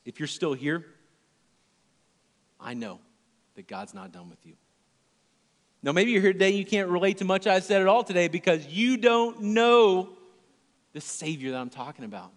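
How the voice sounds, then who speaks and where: A male pastor preaching a sermon in a church, his delivery earnest and swinging from loud emphasis to hushed, near-whispered lines. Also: high-pitched, sing-song, unhurried, pauses often, long reverb tail.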